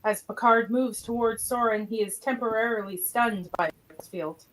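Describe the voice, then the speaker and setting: A woman's voice: fairly high pitch, normal speed, a bit bright, poor audio. A woman reading scripted stage directions as narrator of an amateur radio play.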